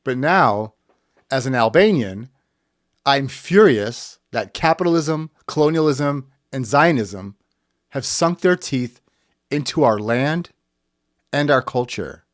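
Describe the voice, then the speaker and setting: A man hosts a political podcast in a blunt, furious tone, swearing freely as he talks. His pitch sits in the middle range, and the close mic sounds dry, with no room around it. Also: expressive, slow pace, no echo. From United States. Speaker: podcast host. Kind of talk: impassioned political commentary.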